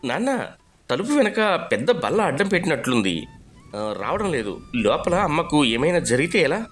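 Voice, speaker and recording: Man acting young son, caring, worried, earnest, animated pitch, village moral story, music behind. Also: moderate pace. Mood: anxious. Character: caring, anxious young husband and dutiful son.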